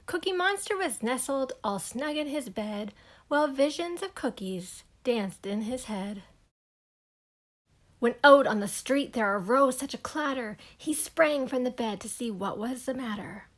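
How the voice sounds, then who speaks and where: A woman reading a rhyming children's story aloud in an expressive, unhurried, measured way. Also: high-pitched. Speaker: storybook reader.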